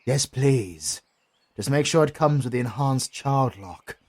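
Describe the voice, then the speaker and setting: An actor with a British accent playing a pompous, melodramatic retired dark lord in a comedy audio roleplay, husky and easily outraged. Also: male voice, medium pitch, lively intonation, unhurried. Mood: dry, grumbling. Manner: grandiose, melodramatic, sardonic.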